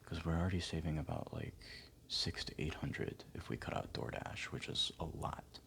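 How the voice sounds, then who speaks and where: A man speaking softly, often near a whisper, in a gentle, hesitant, encouraging ASMR roleplay as an advisor talking to a client. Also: extremely low pitch, very animated, normal speed, creaky, slight background noise. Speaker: ASMR performer playing a financial advisor. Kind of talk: ASMR roleplay, soft-spoken one-sided client consultation.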